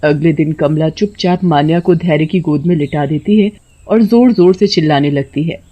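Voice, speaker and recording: A woman's voice: low-pitched, normal speed, muffled recording. A woman reading a scripted story as its narrator.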